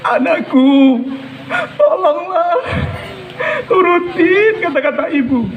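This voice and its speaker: A man demonstrating a storytelling character, a frail old mother pleading with drawn-out words. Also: very high-pitched, lively intonation, narrow, tinny audio, a little hiss or hum, voice pushed up, exaggerated voice. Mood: weak, pleading.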